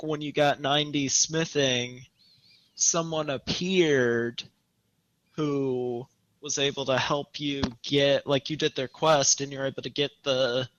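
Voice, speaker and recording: A man's voice: mid-range voice, a bit bright, dry recording, lo-fi sound. A man co-hosting a casual podcast discussion in a tentative, questioning way. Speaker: podcast co-host.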